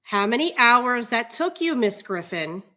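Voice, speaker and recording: A woman playing a measured, skeptical judge in a dramatic reading of a court hearing, speaking deliberately with hesitant pauses. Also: fairly high pitch, sing-song, sharp tone, heavily acted.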